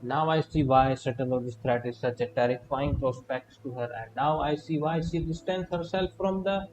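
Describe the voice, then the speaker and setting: A man's voice: medium pitch, normal speed, noisy background. A man giving casual live reaction commentary as a game streamer.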